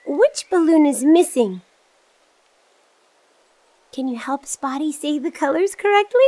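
A woman's voice: sits high, lively intonation, dark tone. A woman voicing a cheerful, curious little girl who kindly guides young viewers in a children's cartoon. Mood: excited, encouraging. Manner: slow, clearly enunciated, questioning, exclaiming. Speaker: host character of a children's cartoon.

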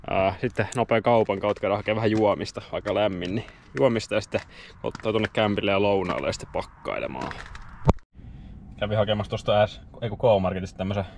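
A young Finnish man talking casually to the camera in a vlog, relaxed and rambling with many fillers. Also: fairly low pitch. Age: young adult. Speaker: vlogger and roller skier. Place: in a car, outdoors on a road, indoors at home. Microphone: handheld or dash camera. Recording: dull lo-fi sound with background noise.

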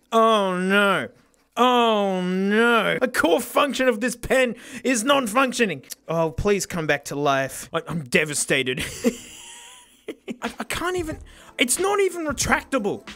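Hoarse male reviewer; excitable and self-mocking, high, very animated delivery. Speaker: gadget reviewer host. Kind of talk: casual unboxing commentary.

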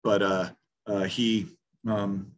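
A man's voice: lowish voice, flat delivery, takes it slowly. A man in his fifties, a head football coach at a post-game press conference, talking bluntly with many restarts over a video call. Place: small room in front of a sponsor backdrop.

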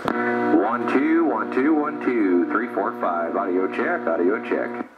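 A man loudly counting for an audio check, heard through a radio speaker. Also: on the low side, expressive, loud music behind the voice. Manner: loud, drawn-out counting into the mic. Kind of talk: counting for a transmit test. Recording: muffled radio audio with heavy noise.

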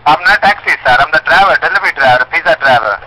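A man's voice: a little high, animated, quick pace, bright, forceful tone. A man with a non-native English accent, working as a pizza driver, politely repeating himself on a customer phone call. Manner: polite, repetitive. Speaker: pizza delivery driver taking calls.

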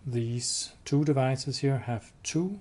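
A man giving a hands-on product demo indoors, explaining step by step in a halting, matter-of-fact way. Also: mid-range voice, a little mellow. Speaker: product demonstrator. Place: indoors, showroom with wall-mounted devices. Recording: clear background noise.

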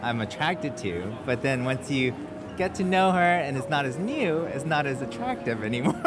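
A man's voice: on the high side, sing-song, a little slow. A man in his thirties from the eastern US chatting casually in an outdoor interview. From near Washington DC, US. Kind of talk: casual chat. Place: outdoors in a public spot. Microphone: handheld interview mic. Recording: music over outdoor sound.

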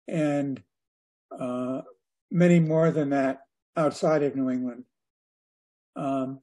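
A man in his seventies giving an informal slide talk to a club over a video call, speaking in a hesitant, measured way with pauses. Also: fairly high pitch, mellow. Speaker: guest speaker at a radio club.

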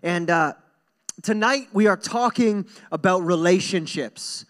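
High-voiced young male pastor preaching. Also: measured pace, fairly bright tone. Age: young adult. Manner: earnest, informal, repeats phrases for emphasis. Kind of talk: conversational sermon to a congregation. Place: stage in a church hall. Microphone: handheld microphone.

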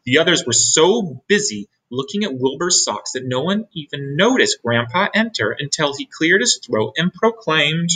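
A middle-aged man reads a picture book aloud for children. His voice is fairly high and husky, very animated in pitch, and he takes his time. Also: moderate pace, few pauses, low-quality recording. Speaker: storybook reader. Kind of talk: expressive children's read-aloud.

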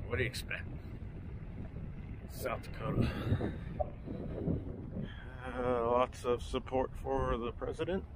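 A man talks in an easygoing, offhand way as he films a walk around an outdoor campground. His pitch is ordinary and his remarks are wry and relaxed. Loud outdoor ambience and wind noise sit heavily behind his voice. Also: normal speed. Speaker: travel vlogger. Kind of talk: casual walk-around narration.

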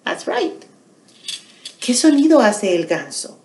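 Lively, unhurried woman storytime host, echoey room. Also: a little high. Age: middle-aged. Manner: deliberate, questioning, repeats phrases in two languages. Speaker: children's storytime host at a public library. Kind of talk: bilingual storytime teaching and narration for small children. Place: small quiet indoor room.

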